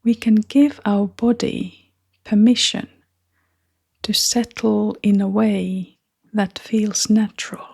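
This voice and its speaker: A woman leading a guided meditation in a soft, half-whispered, breathy voice, with gentle, unhurried phrasing. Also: mid-range voice, fairly even intonation.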